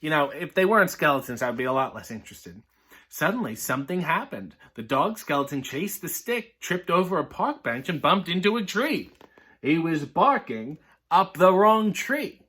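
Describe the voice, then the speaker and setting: A man reading a children's picture book aloud, breaking off for sardonic, deadpan comic asides. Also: fairly high pitch, normal speed, breathy edge.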